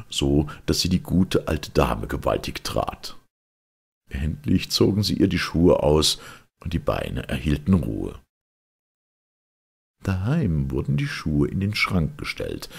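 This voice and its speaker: A man narrating a fairy tale as an expressive audiobook reader, with a gravelly, very husky voice. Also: extremely low pitch.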